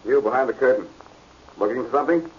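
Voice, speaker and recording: An actor playing a shrewd, commanding amateur sleuth in 1940s radio style, with a husky voice. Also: male voice, medium pitch, fast talker, lightly acted. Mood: wary, commanding. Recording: muffled old radio recording.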